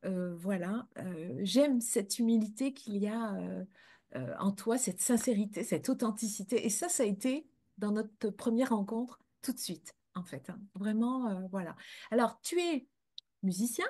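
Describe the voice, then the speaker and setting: A woman's voice: medium pitch, unhurried, mellow. A woman hosting an interview in a friendly, chatty way, with many fillers. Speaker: host.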